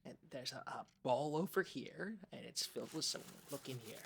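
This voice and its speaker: Oddball public access host played by a man, mid-range voice, earnest, halting, rambling improvised banter. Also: mid-range voice, lively intonation, gravelly, a bit bright, husky, exaggerated voice. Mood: sincere, halting. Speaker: podcast co-host. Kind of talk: improvised comedy chat in character.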